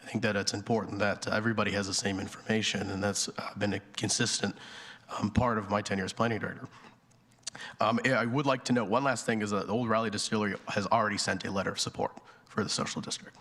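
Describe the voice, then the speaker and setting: A man with a hoarse voice from a cold, a town planning director presenting to a board with many ums and restarts. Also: fairly low pitch, steady tone, quick pace, fairly bright tone. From North Carolina. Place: town council chamber at a podium. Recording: long reverb in a council chamber.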